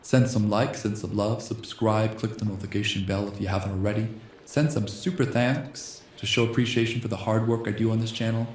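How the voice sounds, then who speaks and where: Low slightly hoarse guy reading a text game aloud asmr style, says the punctuation, echoey room with a bit of hiss. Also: steady tone, a little fast, a little mellow. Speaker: host.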